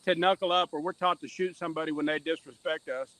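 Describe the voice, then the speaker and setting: Higher-voiced man, earnest podcast guest. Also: steady tone, fast talker, a bit bright, dry recording. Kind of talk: reflective conversational interview talk.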